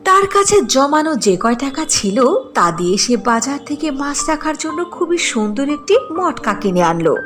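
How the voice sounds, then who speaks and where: A woman narrating a scripted cartoon folk tale as a storyteller. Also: a little high.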